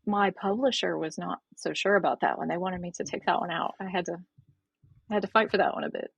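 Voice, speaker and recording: A hoarse woman with a gravelly, fry-laden growl and a breathy, husky edge, talking through ideas in an explanatory way and doubling back on her phrases. Her voice sits a little low and sounds dry and close, with no room around it. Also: steady tone, fast, no echo. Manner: explanatory, restarts phrases, laughs lightly. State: hoarse, froggy voice. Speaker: author guest. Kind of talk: casual podcast interview.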